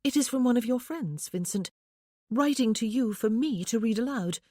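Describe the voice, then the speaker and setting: A woman voicing a gentle, earnest young woman who speaks in a light, hesitant way. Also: a little high, animated, normal speed, dry recording, clean studio sound, pitched above the performer's own voice. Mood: eager.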